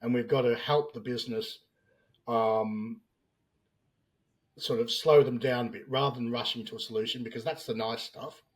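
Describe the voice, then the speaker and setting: A man's voice: mid-range voice, steady tone, moderate pace. An Australian man in his fifties, a business analyst speaking as a podcast guest and explaining plainly with everyday examples. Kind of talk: podcast interview.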